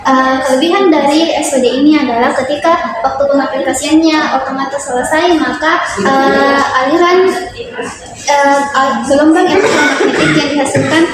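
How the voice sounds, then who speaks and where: A young woman giving an instructional demonstration as a physiotherapy student, explaining step by step in a halting way. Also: sits high. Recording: echoey room, distant mic, loud music. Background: loud music.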